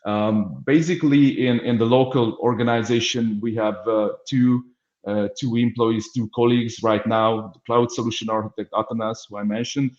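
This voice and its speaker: A man's voice: medium pitch, fairly even intonation, moderate pace, a little mellow, reverberant, muffled recording. A man with a Hungarian accent opening a business webinar on a video call, speaking hesitantly with many fillers and restarts. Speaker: business development manager. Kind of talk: webinar introduction.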